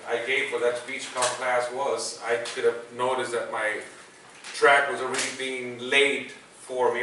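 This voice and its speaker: A man's voice: medium pitch, sharp tone. A man from El Salvador in his forties, speaking English with a Salvadoran Spanish accent as a guest speaker giving a self-deprecating, conversational talk to students in a university classroom. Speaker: guest speaker, sports broadcaster. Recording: distant mic, echoey classroom, faint noise.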